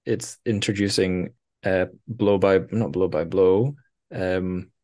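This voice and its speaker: A man's voice: low voice, steady tone, slow pace, a little mellow. A man hosting a podcast, chatting casually about fiction and feeling for his words as he retells it with some animation. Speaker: podcast host. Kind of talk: casual book discussion.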